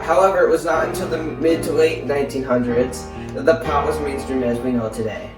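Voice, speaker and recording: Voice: mid-range voice, fairly quick. A young adult presenter reading a scripted explainer. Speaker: presenter and play narrator. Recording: echoey, distant from the mic. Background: plucked guitar background music.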